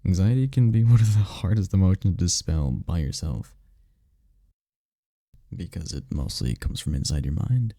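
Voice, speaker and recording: A man playing a tender, powerful incubus boyfriend in an intimate roleplay ASMR, speaking softly and soothingly with unhurried, caring murmurs. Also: expressive, no echo, studio quality. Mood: gentle, reassuring. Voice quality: deep, dark, gravelly, husky. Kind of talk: intimate roleplay ASMR, scripted comfort monologue. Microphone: close mic, dry.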